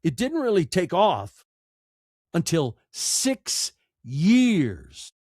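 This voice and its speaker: Guy guest on a podcast, really animated and emphatic, dry recording, talks like he's giving advice. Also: sits high, extremely slow, dry recording. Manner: emphatic, repeats phrases for effect. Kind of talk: conversational advice in an interview.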